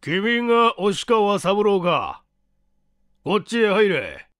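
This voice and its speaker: A man voicing an irascible, eccentric old scientist who rants gruffly and scornfully in an audiobook. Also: high voice, big pitch swings, slow pace, dry recording, clean studio sound, voice pushed up, full character voice. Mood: brusque. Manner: shouting, gruff, scornful ranting.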